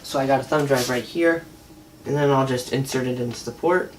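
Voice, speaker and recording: A man's voice: medium pitch, a little fast, slight background noise. A man giving a casual, unscripted product demo in a hesitant, conversational way. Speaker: product reviewer. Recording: distant mic, faint music at times.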